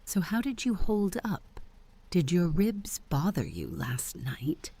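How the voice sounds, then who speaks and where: An actress playing a sharp-tongued, teasing, possessive middle-aged aunt in a visual novel game, with a husky, breathy voice. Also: female voice, on the low side, wildly swooping pitch, slow pace, exaggerated voice.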